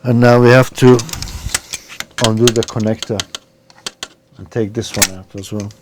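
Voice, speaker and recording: A man's voice: fairly low pitch, fairly even intonation, measured pace. A man giving an informal, unscripted step-by-step repair demonstration, talking hesitantly as he works with his hands. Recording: clear background noise, handling clicks.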